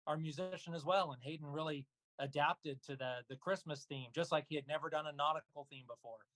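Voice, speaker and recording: A man speaking casually as an interview guest about his work, halting with frequent pauses and asides. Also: a little high, fairly even intonation, fairly bright tone, no room sound, low-quality recording. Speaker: guest, voice actor and audio drama producer. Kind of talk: casual interview talk about own projects.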